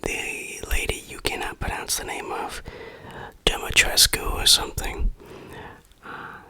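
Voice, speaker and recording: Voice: a little slow, pushed and bright, dry recording. A person whispering a casual game review in ASMR style.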